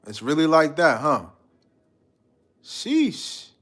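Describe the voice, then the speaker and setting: A man's voice: fairly high pitch, wildly swooping pitch, takes it slowly, a bit bright. A man from Guyana hosting a live stream, chatting loosely with his viewers in a slangy, rambling way and reading out their comments. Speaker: livestream host.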